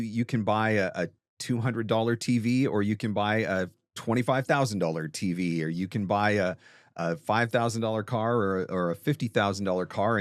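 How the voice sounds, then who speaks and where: A man's voice: on the low side, no room sound, studio quality. A man hosting a talk and giving conversational coaching advice, emphatic and repeating phrases for stress. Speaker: host and voiceover coach answering a question.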